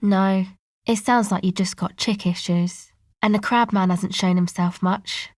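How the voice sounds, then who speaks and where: A woman playing a laid-back, sardonic young woman in a video game, speaking in a casual, slangy, deadpan way. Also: medium pitch, unhurried, no echo, clean studio sound, close to an everyday voice. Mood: sardonic, relaxed. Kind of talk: video game character dialogue.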